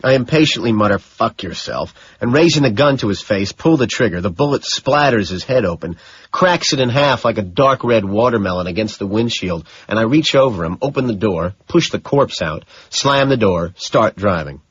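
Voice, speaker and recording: Low-voiced male audiobook narrator, slightly husky. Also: expressive, normal speed, lo-fi sound. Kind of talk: dramatic scripted narration of a novel.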